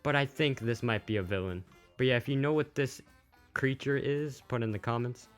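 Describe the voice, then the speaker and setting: A man's voice: medium pitch, moderate pace. Casual man commentating over music. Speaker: YouTube host, game news commentator. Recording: music playing behind the voice.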